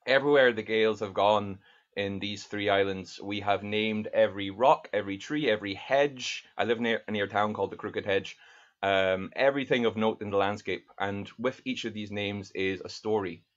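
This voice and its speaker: A man in his thirties reads his poems slowly and earnestly, speaking in a fairly low voice. He talks from a book-lined home study into a webcam. The video-call audio is dull and lo-fi. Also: fairly even intonation. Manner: earnest, thoughtful, reads verse slowly and deliberately. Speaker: poet. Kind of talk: poetry reading with spoken introductions.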